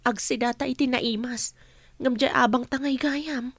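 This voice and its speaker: A gentle, high-voiced young wife. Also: female voice, fairly even intonation, normal speed, no room sound. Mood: gentle, resigned. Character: poor, patient young wife and mother, humble. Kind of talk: radio drama acting. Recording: music under the voice.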